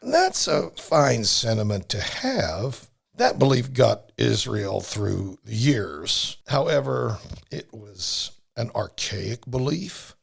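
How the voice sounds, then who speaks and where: A man playing a sly, flattering prince in a Bible audio drama, condescending and oily, with theatrical pauses. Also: mid-range voice, gravelly, breathy edge, exaggerated voice. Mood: smooth, condescending. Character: sly, flattering male prince urging a king toward idols.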